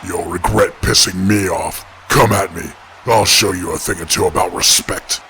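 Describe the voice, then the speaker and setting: A man voicing a huge, proud lizard superhero who speaks in righteous, menacing threats in an animated fight show. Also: low-pitched, moderate pace, slight background noise. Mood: combative.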